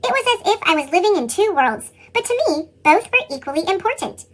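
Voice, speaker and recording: A woman reading a children's picture book as an earnest young boy, with a squeaky pitched-up chipmunk effect. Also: brisk. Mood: earnest, proud. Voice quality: squeaky, sped-up chipmunk sound. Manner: animated children's read-aloud delivery. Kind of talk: scripted picture-book narration.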